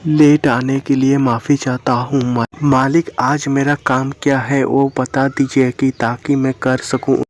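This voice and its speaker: A man's voice: medium pitch, little pitch movement, a little slow, hardly any pauses, lightly acted. A man voicing a humble, eager young servant in a cartoon story, speaking respectfully and earnestly. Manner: deferential, apologetic, earnest.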